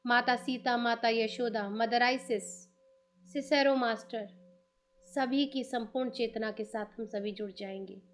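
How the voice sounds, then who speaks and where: A woman with an Indian accent guides a meditation in a soothing manner, giving instructions in short, unhurried phrases. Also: fairly high pitch, steady tone. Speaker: meditation guide, channel host.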